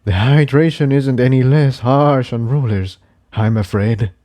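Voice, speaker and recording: A man's voice: medium pitch, a little slow, dark tone, close to an everyday voice. A man voicing an elderly, weary pony ruler with a quavering, solemn delivery in a story reading. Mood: weary, sad.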